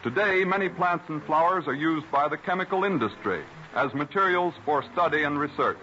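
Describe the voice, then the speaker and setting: A man's voice: on the high side, lively intonation, bright, forceful tone. A man with an American accent giving formal, scripted narration as a 1930s radio announcer. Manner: formal, measured delivery. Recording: dull, noisy 1930s radio recording.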